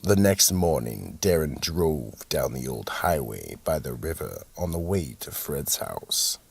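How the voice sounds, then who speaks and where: Gravelly, extremely deep horror storyteller. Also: male voice, sing-song, unhurried, no room sound, distant mic, full character voice. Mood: ominous. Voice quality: gravelly, husky. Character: sinister male storyteller, keeper of a horror vault. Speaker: narrator and host. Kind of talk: scripted horror radio narration.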